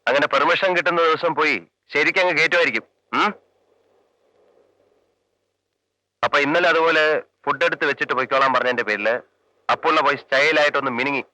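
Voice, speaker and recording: A quick-talking police officer, sharp bright voice, curt questions, dry old film sound. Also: male voice, on the high side, dry recording, poor audio. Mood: probing. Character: brisk sharp-tongued male police officer. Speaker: police investigator. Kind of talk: 1980s film drama.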